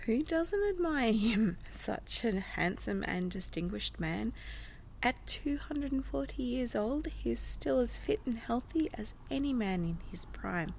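A woman's voice: on the high side, animated, measured pace, vocal fry, a little mellow, slight background noise. A woman playing a prim, earnest hostess in an audio drama, with a proper, gushing, genteel manner. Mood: gushing, reverent. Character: prim, earnest female euthanasia-service hostess.

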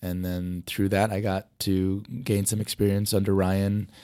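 A man's voice: sits low, little pitch movement, unhurried, a little mellow, clear, resonant tone, no echo. A middle-aged man from Hawaii who teaches motion design, answering interview questions in a rambling way with many fillers while losing his voice.

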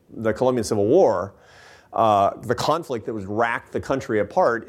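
A man giving earnest, emphatic answers to audience questions after a talk, often restarting his sentences. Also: medium pitch. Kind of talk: lecture Q&A answers.

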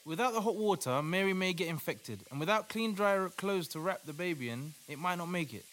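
A man's voice: sits high, expressive, a little fast, a bit bright. An actor playing an earnest, devout male doctor who takes charge in an audio play. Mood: urgent. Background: music.

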